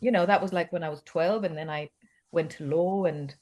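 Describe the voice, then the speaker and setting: Thoughtful low-voiced woman, Greek native. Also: a little fast, a little mellow. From Cyprus. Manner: thoughtful, hesitant, many fillers and restarts. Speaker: guest actress. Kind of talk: conversational interview.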